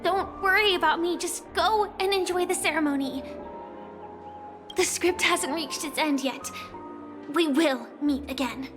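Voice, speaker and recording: An actress playing a gentle young woman on the run in a video game, earnest and guarded, with a soft, measured delivery. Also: very high-pitched. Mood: reassuring. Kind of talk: dramatic video game voice acting. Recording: clear music behind the voice.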